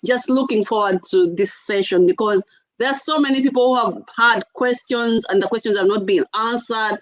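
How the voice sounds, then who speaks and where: A woman's voice: a little high, moderate pace, no echo, low-quality recording. A woman hosting an online panel on a video call, upbeat and welcoming as she introduces the speakers. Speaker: host and mentor.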